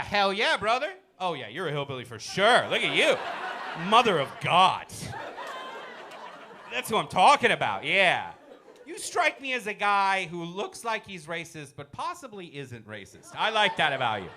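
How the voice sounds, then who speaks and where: Brash, lively stand-up comedian man. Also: sits high. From Iran. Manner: brash, animated, teasing the crowd. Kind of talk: stand-up set with crowd work. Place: comedy club stage. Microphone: handheld stage mic.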